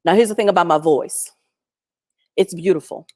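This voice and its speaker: Woman guest, lowish pitch, strong loud voice, lively chatty storyteller on a video call. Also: normal speed. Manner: chatty, animated, acts out quoted voices. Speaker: featured guest. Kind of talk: storytelling testimony.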